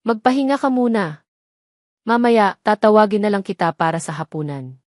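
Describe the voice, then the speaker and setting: A woman's voice: medium pitch, lively intonation, normal speed, darkish tone, no room sound, natural voice. A kindly elderly estate caretaker, voiced by a woman narrator, courteous and fatherly. The audio story delivery is welcoming, with a dry, roomless sound. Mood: warm welcome.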